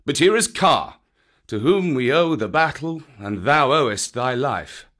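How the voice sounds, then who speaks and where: A man's voice: medium pitch, expressive, measured pace, fairly bright tone. A man reading a storybook aloud, voicing a stern panther with a dry, cool manner.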